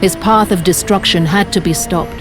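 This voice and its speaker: An actress playing a calm, stately goddess in a video game cutscene, with a soft, measured voice. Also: female voice, on the low side, close to an everyday voice. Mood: commanding. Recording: game cutscene under music.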